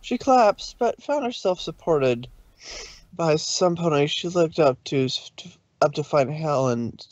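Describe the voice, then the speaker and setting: A man's voice: fairly high pitch, lively intonation, measured pace, a little mellow, no echo, dull audio. A man narrating a story informally, with a loose delivery in which he sometimes corrects himself. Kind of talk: informal fan fiction reading.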